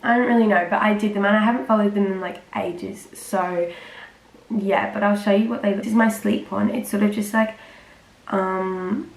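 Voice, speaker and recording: A teenage girl, slightly croaky from a cold, rambling casually to camera with lots of fillers. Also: mid-range voice, steady tone, moderate pace. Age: teens. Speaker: vlogger. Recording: roomy with faint ambient noise.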